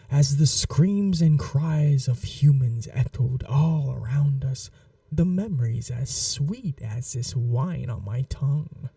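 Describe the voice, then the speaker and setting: A man playing a possessive, seductive vampire in an intimate ASMR roleplay, speaking in a soft, breathy half-whisper with a languid, teasing, condescending manner. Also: medium pitch, dry recording, recorded from a distance, heavily acted. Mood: nostalgic, dark.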